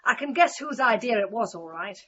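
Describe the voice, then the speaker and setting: A woman's voice: a little high, lively intonation, brisk, pushed and bright. An actress playing a middle-aged, self-pitying, disbelieving mother in a radio play, scolding in an affronted manner. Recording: slightly muffled, faint background noise.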